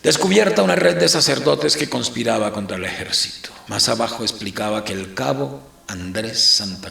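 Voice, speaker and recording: A man playing a condemned priest in an audio drama, narrating his confession in a deliberate, brooding way. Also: medium pitch, creaky, fairly bright tone, a little hiss or hum. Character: condemned male priest turned corporal, confessing his imprisonment. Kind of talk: scripted first-person dramatic narration. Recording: roomy, echoing narration.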